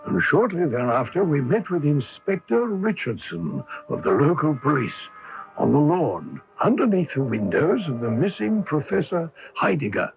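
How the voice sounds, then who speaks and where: A muffled AM radio recording with music, a detective's loyal companion in a mystery drama. His slightly high voice is measured and literate. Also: very animated, vocal fry. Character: loyal male companion recounting a detective's case.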